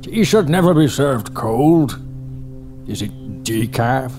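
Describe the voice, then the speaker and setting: An actor playing a stubborn, grumbling older retired historian, who sighs a lot and talks slowly. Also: male voice, medium pitch, animated, darkish tone. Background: music.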